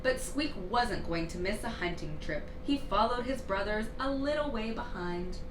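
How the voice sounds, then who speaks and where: Slow-paced woman reading a storybook, echoey. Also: medium pitch. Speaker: storybook reader. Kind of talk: expressive children's read-aloud narration. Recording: roomy, distant mic, clear background noise.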